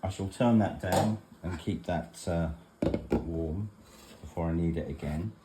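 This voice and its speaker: A man's voice: low-pitched, a little slow, heavy background noise. A man giving a casual home demonstration in a rambling, matter-of-fact way, like a vlogger in his kitchen.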